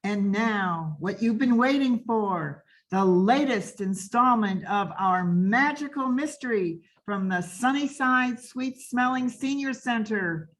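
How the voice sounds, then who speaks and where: A woman's voice: a little high, expressive, slow, hardly any pauses, rough recording. A woman hosting a radio show with scripted, old-time announcing.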